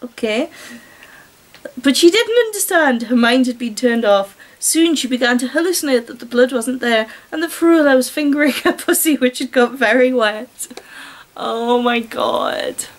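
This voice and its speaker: A woman with a high-pitched British voice reads a story aloud in an amateur style. She is giggly and openly incredulous, breaking into sarcastic commentary on what she reads. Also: lively intonation, normal speed. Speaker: reader and commentator. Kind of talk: amateur story reading with mocking asides.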